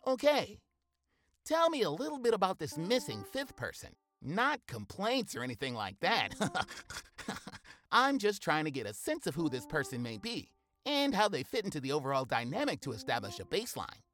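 An actress playing a jokey, nosy group therapist in an audio sitcom, effusive and giggly. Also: female voice, sits low, huge pitch swings, moderate pace, fairly bright tone, faint music behind, heavily acted. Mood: curious. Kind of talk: sitcom audio theatre acting.

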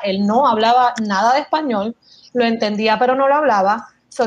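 A woman in her thirties with a Puerto Rican accent, telling stories in casual chat and mixing English words into her speech. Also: fairly high pitch. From Puerto Rico. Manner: lively storytelling, mixing English words into Spanish. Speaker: podcast guest sharing her experience.

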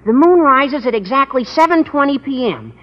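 A man's voice: extremely high pitch, big pitch swings, moderate pace. A man playing an eager, gushing, earnest American teenage schoolboy in old radio comedy. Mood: excited, scheming. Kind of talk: 1940s-50s radio comedy acting. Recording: muffled, hissy, reverberant old radio recording.

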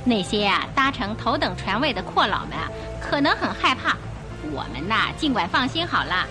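A talkative, trusting ordinary woman traveler in an old-fashioned radio play. Her pitch is high and leaps about expressively, with music playing underneath. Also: very animated, normal speed. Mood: reassuring, admiring. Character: chatty, trusting woman passenger in the cheap cabins. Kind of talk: old-style radio drama acting.

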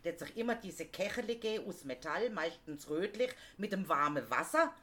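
A woman's voice: lowish voice, lively intonation, a little fast, fairly bright tone. Reminiscing woman. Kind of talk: casual chat.

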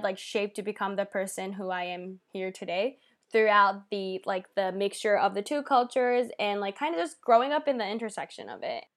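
A woman's voice: mid-range voice, a bit bright. Chatty young woman podcast co-host from Japan. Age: young adult. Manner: chatty, lots of "like" and "um" fillers. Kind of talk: casual podcast chat.

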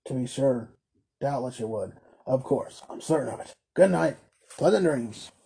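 A man's voice: medium pitch, animated, normal speed, gravelly, dark tone, breathy edge, exaggerated voice. A man voicing a smug, domineering older male merchant in a storybook reading, curt and condescending. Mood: mock-polite, condescending.

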